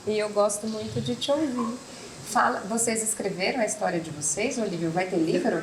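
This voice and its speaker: A woman's voice: medium pitch, a little fast. A woman from Brazil moderating a chat, giving short, encouraging prompts to the guests. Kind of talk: conversational interviewing. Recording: echoey room with clear noise.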